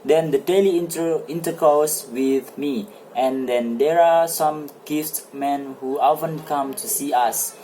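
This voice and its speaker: Somebody doing self-satisfied husband guy in an old play, eager n formal, slow, mellow, bit of noise. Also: mid-range voice, close to an everyday voice, poor audio. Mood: proud. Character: Hjalmar, talkative, self-satisfied married photographer, a man. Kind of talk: amateur dramatic play reading.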